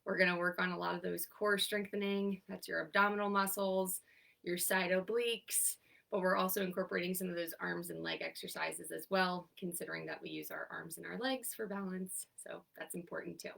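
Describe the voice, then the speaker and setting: Young woman instructor, stuffy nose, bright gravelly voice, a bit far from the mic, upbeat counting down stretches. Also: on the low side, steady tone, brisk. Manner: upbeat, encouraging, counts down holds. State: congested, stuffy from sinus trouble. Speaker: occupational therapist leading seated exercise class. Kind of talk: instructing an online exercise class.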